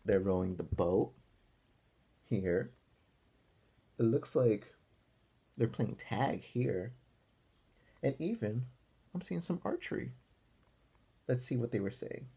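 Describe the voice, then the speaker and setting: A man reading a picture book aloud to young children as their teacher, expressively, pausing to explain and ask simple questions. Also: fairly low pitch, lively intonation, gravelly, dark tone, husky. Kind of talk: animated read-aloud with questions to young listeners.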